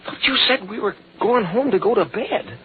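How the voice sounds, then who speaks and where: High-voiced eager young son in old radio. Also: male voice, expressive, moderate pace. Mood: concerned, casual. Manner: boyish, informal, questioning. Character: eager young adult son helping detective father. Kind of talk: old-time radio detective acting. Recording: tinny, hissy vintage radio recording.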